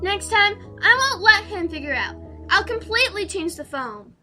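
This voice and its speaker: Very high, bright, whimsical schoolgirl. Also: big pitch swings, moderate pace, soft background music, heavily acted. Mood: haughty, whimsical. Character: eccentric, childlike schoolgirl who tells fanciful lies. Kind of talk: audio drama acting.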